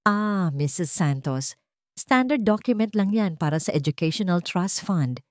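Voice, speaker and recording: A woman voicing a smooth male lawyer in an audiobook drama, formal and persuasive. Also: fairly low pitch, expressive, measured pace, dry recording, clean studio sound. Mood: smoothly persuasive. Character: smooth male lawyer explaining a shady document.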